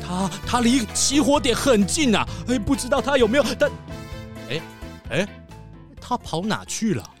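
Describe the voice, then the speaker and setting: A flustered, dutiful town official in a children's radio play. He stammers and frets in a very animated voice, over faint music. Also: very high-pitched, normal speed. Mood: fretting. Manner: fussy, stammering, exclaims "aiyo-yo". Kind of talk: children's audio drama acting.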